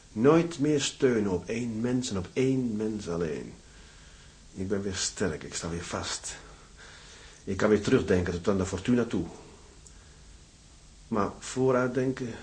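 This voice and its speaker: An actor playing a brooding, stubborn sea captain in a radio drama, terse and grim. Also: male voice, fairly low pitch, darkish tone, a little hiss or hum.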